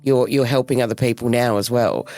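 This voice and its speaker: Male podcast co-host, measured and sincere, medium pitch, quiet music behind. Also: steady tone. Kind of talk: warm-toned interview remarks and scripted outro.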